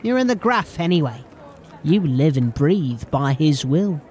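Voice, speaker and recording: An actor playing a cocky, threatening street-gang henchman in a fantasy audio drama, with a rough, slangy, taunting way of talking. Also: a little high, very animated, a little slow, darkish tone, a little hiss or hum.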